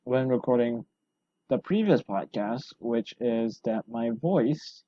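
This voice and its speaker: A man hosting a solo podcast, rambling and self-deprecating, his voice hoarse from talking a long time. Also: mid-range voice, expressive, slow, mellow, lo-fi sound. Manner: rambling, self-deprecating, full of fillers and restarts. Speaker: podcast host.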